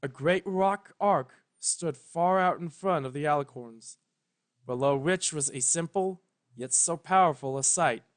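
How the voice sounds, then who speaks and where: Slow, solemn storyteller with a mid-pitched voice. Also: no room sound. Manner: measured, solemn, pausing between phrases. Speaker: story narrator. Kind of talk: scripted dramatic fan fiction narration.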